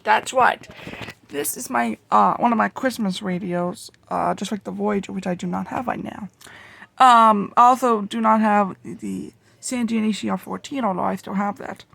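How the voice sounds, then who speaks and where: Someone casually showing off a collection in a home-made vlog, talking in a halting, rambling way. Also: medium pitch, creaky, fairly bright tone. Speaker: video creator showing a radio collection. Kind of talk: casual show-and-tell vlog.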